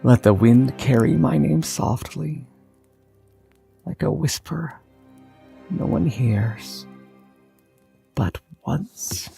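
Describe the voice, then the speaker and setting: A man voicing a forgotten, solitary poet, reciting slowly and solemnly in a tender, melancholy way. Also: medium pitch, gravelly, dark tone, pitched above the performer's own voice, exaggerated voice. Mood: resigned, tender. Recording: long reverb, music underneath.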